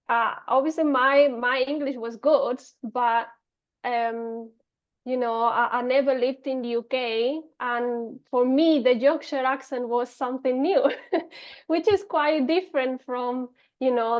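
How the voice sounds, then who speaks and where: A woman's voice: fairly high pitch, steady tone, slow, highs cut off. A Spanish-accented woman in her thirties, a podcast guest talking casually with many fillers and restarts. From Spain. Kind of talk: casual interview talk.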